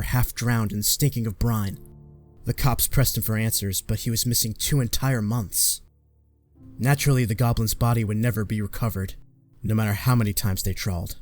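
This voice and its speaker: A man's voice: medium pitch, darkish tone, natural voice. A man playing an earnest, guilt-ridden young superhero in a comic-book fan dub, dramatic, with music behind.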